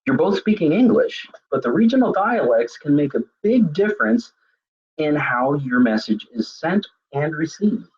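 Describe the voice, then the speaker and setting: A man's voice: a little high, very animated, moderate pace, a little mellow, slightly rough, muffled recording. A man presenting a web seminar on leadership, speaking conversationally with frequent fillers and self-corrections. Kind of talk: lecture on leadership communication.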